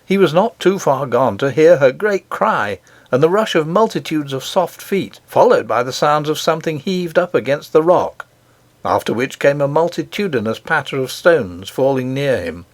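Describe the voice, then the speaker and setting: A male audiobook narrator reads a children's story with wide, lively swings of pitch. His delivery is measured and expressive, and the sound is dry, with little room around it. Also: dry recording.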